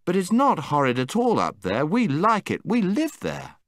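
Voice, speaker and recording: A man's voice: high voice, huge pitch swings, normal speed, no room sound, clean studio sound, pitched above the performer's own voice. A man reading the lines of an eager, cheerful young girl. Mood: insistent.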